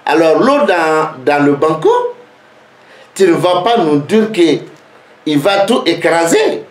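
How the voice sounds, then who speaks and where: Man, non-native French speaker, geomancy lesson, unhurried delivery, roomy echo. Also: fairly high pitch, animated. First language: not French. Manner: slow, repetitive, rhetorical questions. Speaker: geomancy teacher. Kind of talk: spoken lesson to camera.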